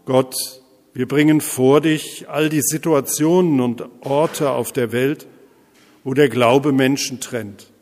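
A man leading intercessory prayer as a pastor, speaking solemnly in measured, deliberate phrases with pauses. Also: medium pitch, steady tone, darkish tone. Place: large reverberant church interior. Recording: echoey church hall, long reverb.

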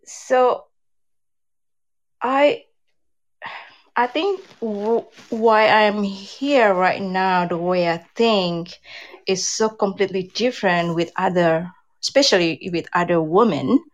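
A woman's voice: medium pitch, slow, long pauses. A woman in her fifties from Indonesia with an Indonesian accent, chatting candidly as an interview guest, her English loose in grammar. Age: 50s. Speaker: guest, relationship advice creator. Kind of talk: casual interview chat.

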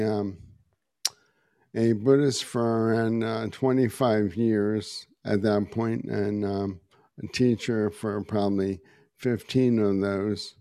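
A middle-aged man speaks low and slowly, with a raspy, hoarse edge on every phrase. He stops often on uh and um as he tells his own story. The sound is dry and close. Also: mellow, no echo. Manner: slow and halting, many uh and um. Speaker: podcast guest, meditation teacher. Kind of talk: personal story in conversation.

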